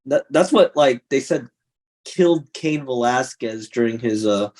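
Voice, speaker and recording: A man's voice: medium pitch, measured pace. A young man co-hosting a podcast, chatting casually and animatedly, laughing often. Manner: animated, laughing, does mock call-out voices. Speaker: podcast co-host. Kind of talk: casual chat.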